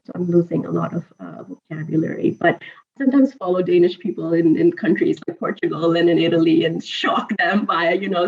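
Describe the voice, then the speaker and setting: A woman in her forties whose first language is Urdu, telling her story as an interview guest, lively and chatty with frequent laughs and fillers. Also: on the low side, gravelly. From Pakistan. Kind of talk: conversational interview. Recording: slightly dull lo-fi audio.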